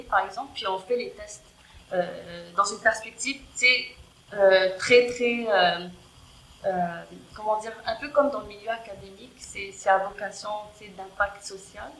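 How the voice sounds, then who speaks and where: A woman's voice: mid-range voice, normal speed, fairly bright tone. A woman who is not a native French speaker, talking casually with many fillers and restarts in an interview. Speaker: guest, EDI worker in tech. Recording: roomy with faint background noise.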